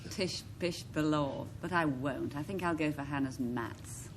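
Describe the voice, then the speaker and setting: A woman on a panel game, quick-witted and self-mocking as she bluffs a definition. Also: sits low, noisy background.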